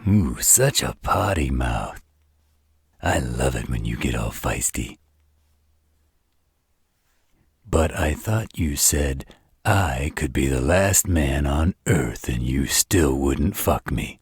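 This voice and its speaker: Man voicing an arrogant, very deep, husky vampire. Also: expressive, dry recording, heavily acted. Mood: smug, teasing. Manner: smug, taunting, slow drawl. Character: arrogant, teasing, flirtatious adult male vampire. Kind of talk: roleplay audio drama monologue addressed to the listener.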